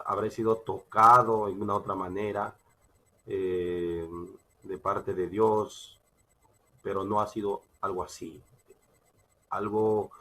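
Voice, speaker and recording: A man with a Peruvian accent preaching a sermon, emphatic and halting, repeating phrases as he teaches from scripture. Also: lowish voice, sharp tone. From Peru. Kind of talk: sermon with Bible reading and personal testimony.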